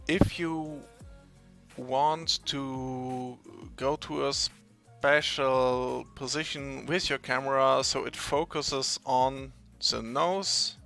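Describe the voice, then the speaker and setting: Slow, halting German-accented man. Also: mid-range voice, vocal fry, pushed and bright. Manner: slow, halting, searches for English words. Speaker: tutorial maker. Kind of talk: screen-recorded software tutorial.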